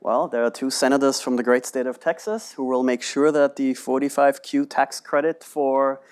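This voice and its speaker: A man moderating a panel discussion at a lecture hall, talking in a lively, animated way and often checking in with "right?". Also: mid-range voice, normal speed, clean tone. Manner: animated, asks rhetorical questions, often adds "right?".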